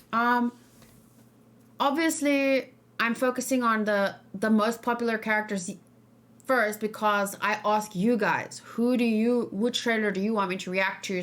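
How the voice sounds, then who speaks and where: A woman's voice: on the high side, a bit bright. Emphatic woman streamer chatting casually. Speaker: streamer hosting a reaction and discussion. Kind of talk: casual livestream chat, answering viewers' questions.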